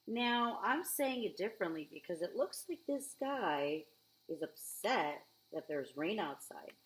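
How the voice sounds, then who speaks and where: Animated woman reading to children. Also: lowish voice, measured pace, low-quality recording. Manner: child-directed, questioning, expressive. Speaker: teacher reading aloud to children. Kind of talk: picture book read-aloud with chatty asides.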